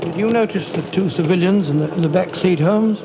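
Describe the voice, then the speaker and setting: An actor playing a genial, easily amazed older British doctor in a 1940s radio drama, blustery and old-fashioned. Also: male voice, sits high, fairly quick, noisy background. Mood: chatty. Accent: British English. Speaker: actor and narrator in a radio play. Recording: dull 1940s radio recording.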